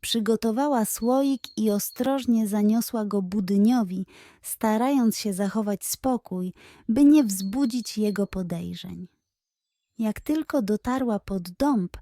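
A woman narrates a children's bedtime story at a slow pace. Her voice sits a little high, and the sound is dry, with hardly any room to it. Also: mellow, no echo.

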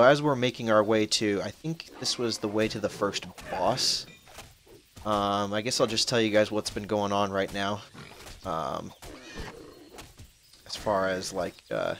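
A young man of twenty-one talking through a game he plays, rambling and chatty, cursing now and then at what happens on screen. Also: fairly low pitch. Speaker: gaming channel host. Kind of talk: casual let's-play commentary. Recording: faint background noise, voice drops out when soft.

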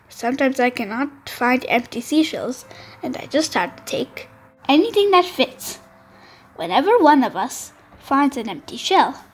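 A woman narrating a storybook for young children with expressive, deliberate phrasing. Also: high voice, poor audio.

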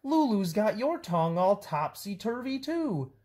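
A woman's voice: mid-range voice, sing-song, a little slow, mellow, voice pushed up. A woman voicing a flustered, dramatic female schoolteacher who exclaims in silly rhymes. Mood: flustered, alarmed.

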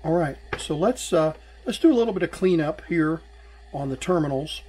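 A middle-aged man gives a casual hands-on tutorial as he works, thinking aloud in an unhurried way. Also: fairly high pitch, animated, mellow, a little hiss or hum. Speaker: electronics repair hobbyist and host. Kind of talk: casual hands-on tutorial narration while working. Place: small indoor workbench.

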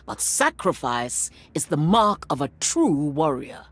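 A proud, middle-aged warrior matriarch commands with imperious menace. Her voice is husky and rough-edged, swinging widely in pitch as she delivers each line slowly. It is theatrical video game acting, ruthless and grand. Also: very animated, no room sound, heavily acted. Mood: cold, proud. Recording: music under the voice.

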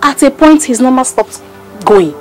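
A distressed young mother laments wearily in a fairly high voice with a Nigerian accent. She sighs and repeats phrases for emphasis. It is film drama, with some music underneath. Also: lively intonation, normal speed, a little mellow. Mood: weary, resigned. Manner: sighing, lamenting, emphatic repetition. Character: weary, distressed young mother confiding hardship to a friend. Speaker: actor.

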